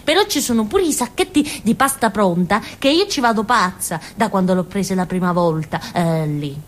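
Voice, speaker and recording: An actress playing a naive, simple-minded village woman in a theatre monologue, with a southern Italian accent and a childlike, halting, colloquial way of telling things. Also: medium pitch, animated, slight background noise, lightly acted. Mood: chatty, marvelling. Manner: childlike, halting, giggly, muttering little asides. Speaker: stage actress.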